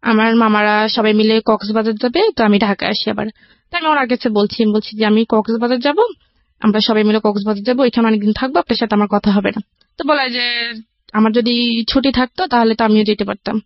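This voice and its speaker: Higher-pitched young Bangladeshi woman, dull dry recording. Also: fairly even intonation, quick pace, dry recording. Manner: recounts in detail with reported dialogue. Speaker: radio show guest telling their life story. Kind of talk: personal storytelling in casual conversation. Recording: dull, lo-fi broadcast audio.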